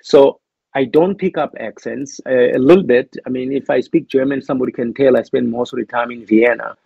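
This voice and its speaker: A man's voice: mid-range voice, steady tone, fairly quick, vocal fry, dark tone, husky, dry recording, highs cut off. A man with a South African accent, speaking as an interview guest in a thoughtful, hesitant way with many restarts. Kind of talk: reflective interview talk.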